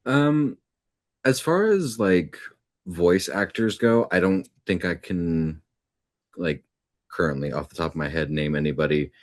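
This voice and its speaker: A young man with a very low, deep voice talks casually about his acting work as an interview guest. He sounds easygoing and reflective and leans on fillers as he thinks. The recording is dry, with no room sound around him. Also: unhurried, no echo. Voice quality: deep natural speaking voice. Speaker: voice actor guest.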